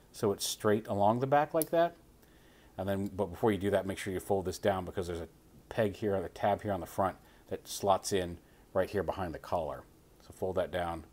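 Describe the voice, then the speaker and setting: A man reviewing a toy, casually explaining each step while he handles it at a tabletop. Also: low voice. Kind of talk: hands-on toy review walkthrough.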